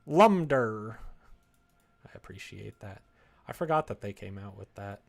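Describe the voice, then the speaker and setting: A man's voice: lowish voice, expressive, unhurried, vocal fry. A man live streaming as he develops a game, chatting casually and muttering to himself as he works at his desk. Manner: muttering to himself between replies to chat. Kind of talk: casual chat while working. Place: indoors at a computer desk.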